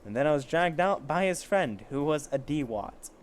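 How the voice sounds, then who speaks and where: A man with a fairly high voice reads a story aloud in an informal way. He comments sarcastically and swears freely. The amateur recording has some faint background noise. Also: animated, normal speed. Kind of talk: casual fan fiction reading with asides.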